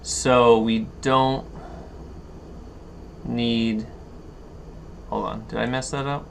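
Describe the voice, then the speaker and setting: A man giving an unscripted software walkthrough, thinking aloud and haltingly explaining each step as he goes. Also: medium pitch, level tone, creaky. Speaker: tutorial instructor. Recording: noticeable background noise.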